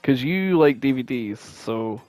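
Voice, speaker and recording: A man co-hosts a casual podcast in a lively, swooping voice. He takes his time and swears freely, talking informally. Faint music plays behind him. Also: mid-range voice, a little slow, dry recording. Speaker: podcast co-host. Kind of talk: casual chat, guessing game.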